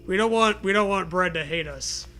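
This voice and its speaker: A man reading a comedy story aloud with deliberate, mock-dramatic narration and bits of banter. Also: high-pitched, sharp tone, slight background noise.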